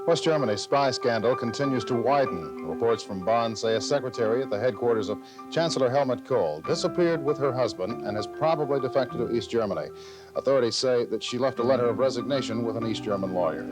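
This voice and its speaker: A man's voice: medium pitch, lively intonation, fast, music in the background, rough recording. A man co-anchoring a television newscast with scripted studio delivery. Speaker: news co-anchor. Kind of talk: scripted TV news reading with brief co-anchor banter. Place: TV news studio.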